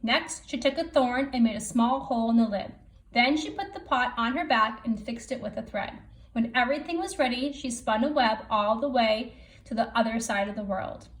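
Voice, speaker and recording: Higher-voiced woman reading a storybook aloud. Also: moderate pace. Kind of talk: storybook read-aloud for children.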